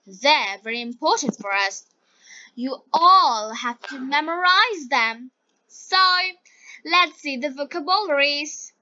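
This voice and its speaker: A woman with a Bengali accent teaches in a scripted, story-reading style at an extremely slow pace. Her voice is high and bright, and she pronounces each short phrase carefully. The recording is dry, dull and lo-fi. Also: animated, no room sound. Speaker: English teacher.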